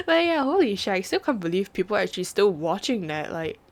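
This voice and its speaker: A woman's voice: medium pitch, very animated, fairly quick. A sniffly young woman talks to her stream on a home microphone. She rambles, giggles and pokes fun at herself in easygoing chat. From Singapore. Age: young adult. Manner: giggly, rambling, self-mocking. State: sniffly, with a runny nose. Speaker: VTuber streamer. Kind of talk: casual live-stream chat.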